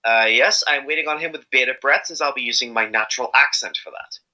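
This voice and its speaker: A man's voice: medium pitch, brisk, pushed and bright. A man chatting casually as an interviewed amateur voice actor, rambling and hesitant, often correcting himself as he goes.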